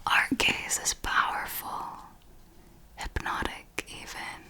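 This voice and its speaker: A refined vampire mentor, played by a woman, speaks in a breathy, intimate whisper. She takes her time over each formal phrase, patient and seductive with a predatory edge, in the manner of an ASMR roleplay. Her pitch sits in an average female range. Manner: slow, measured, formal, intimate. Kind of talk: ASMR roleplay, scripted monologue.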